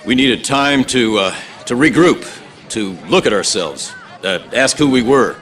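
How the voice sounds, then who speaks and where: An actor playing a resolute, solemn colonel in a game, speaking in a halting, deliberate, grave way as if making a public statement. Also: male voice, medium pitch, animated, fairly bright tone. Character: colonel, male military commander, solemn and resolute. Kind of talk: press statement in game cutscene.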